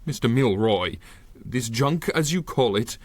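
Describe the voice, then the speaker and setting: Excitable old eccentric scientist. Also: male voice, medium pitch, a little slow, no echo. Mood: proud, earnest. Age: older. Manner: formal, flustered, grandiose, stammering. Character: eccentric, earnest old scientist, pleading and proud. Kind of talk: 1930s-style radio drama acting.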